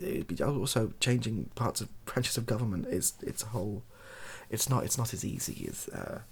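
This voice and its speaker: A British man speaking softly in a rambling, hesitant, improvised opinion monologue for an online video. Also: on the low side, vocal fry, slightly rough. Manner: soft-spoken, hesitant and rambling.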